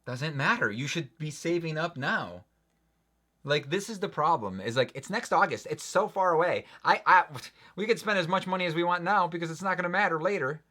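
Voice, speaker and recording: A young man co-hosting a home livestream, chatting casually and teasing playfully, often repeating words in quick runs. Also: fairly high pitch, lively intonation, bright, forceful tone. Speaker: co-host of a livestream. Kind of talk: casual chat reading live comments.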